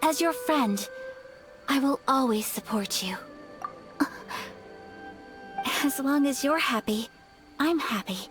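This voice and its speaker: Gentle young game heroine, high voice, soft-spoken, earnest, shy, music behind. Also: female voice, lively intonation. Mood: tender, earnest. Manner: soft-spoken, sincere, unhurried. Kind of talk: video game character dialogue.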